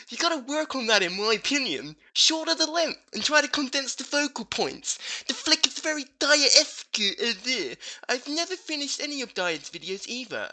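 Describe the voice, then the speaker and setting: Animated high-pitched woman reading aloud. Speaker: co-host reading forum posts aloud. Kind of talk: comedic read-aloud of gamer forum posts.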